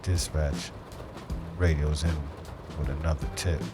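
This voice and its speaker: A man playing a brooding, hardboiled police detective, narrating a noir audio drama in a measured, poetic, deadpan way. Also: a bass voice. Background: music.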